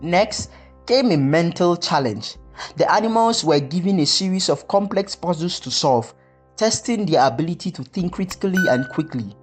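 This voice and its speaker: Folktale narrator, scripted storybook delivery, medium pitch, music behind. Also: expressive, moderate pace.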